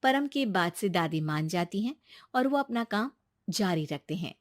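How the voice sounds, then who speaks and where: A woman's voice: medium pitch, animated, moderate pace, no room sound, clean studio sound. A woman narrating a scripted family tale as a storyteller.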